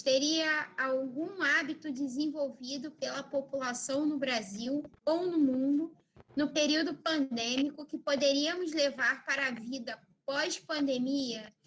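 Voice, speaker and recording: A woman's voice: sits high, a bit bright, rough recording. A woman hosts a panel. She moderates politely, reading questions aloud and passing the floor to guests. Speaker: host reading audience questions.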